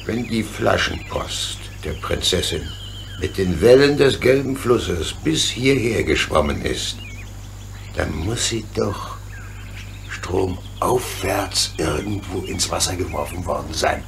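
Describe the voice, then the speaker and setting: Hearty locomotive driver, male actor: creaky, gravelly, sensible, plain-spoken, children's radio drama. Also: sits low, expressive, slow pace, a little hiss or hum, full character voice. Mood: resolute, thoughtful. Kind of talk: radio play acting for children.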